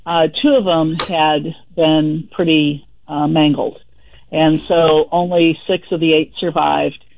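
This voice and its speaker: A woman's voice: low-pitched, little pitch movement, slow pace. A woman calling a radio show, chatty as she explains her problem. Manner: chatty, storytelling. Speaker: caller. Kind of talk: phone call to a radio show. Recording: tinny phone line.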